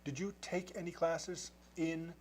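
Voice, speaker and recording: A man's voice: on the high side, steady tone, unhurried, a little mellow. A man hosting a TV interview, asking long, rambling questions with many restarts. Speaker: TV program host. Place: television studio.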